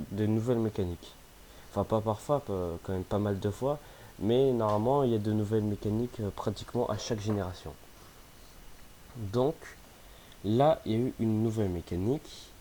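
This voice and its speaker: A man's voice: on the low side, warm tone, slight background noise. A young man with a cold talking casually about games, hesitant, with many fillers and restarts. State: has a cold, sniffly. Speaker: gaming youtuber. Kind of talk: casual vlog chat.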